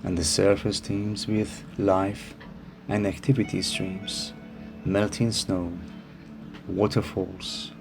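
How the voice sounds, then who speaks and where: A man narrating a guided meditation in a calm, soothing manner with unhurried phrasing. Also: deep voice, gravelly. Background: music behind the voice.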